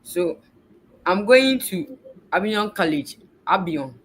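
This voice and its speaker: A woman from Ghana with a Ghanaian accent and a deep voice, answering mock visa interview questions politely and with some hesitation over a laptop call. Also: animated, a little slow, a little hiss or hum. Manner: polite, hesitant, says please often. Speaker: student visa applicant. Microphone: video call on a laptop.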